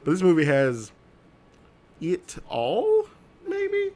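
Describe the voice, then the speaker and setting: A man co-hosting a live-streamed chat show, talking casually and jokily, rambling with loud excited bursts. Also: high-pitched, takes it slowly. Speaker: co-host. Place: home streaming setup.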